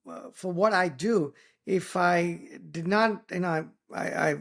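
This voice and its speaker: A man with an Indian English accent, whose first language is Tamil, speaks in a fairly high voice with a gravelly fry. He delivers an earnest podcast monologue of advice, full of "uh" and restarts, checking in with "right?". The talk stays unscripted and conversational. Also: unhurried, fairly bright tone. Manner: earnest, many fillers and restarts, frequent "right?" tags. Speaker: podcast host.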